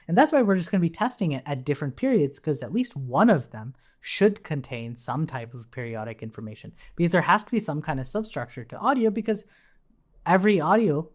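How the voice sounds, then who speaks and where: A man instructing in an informal, think-aloud way. His pitch moves up and down a lot as he explains each step, and he often tags his statements with a checking question.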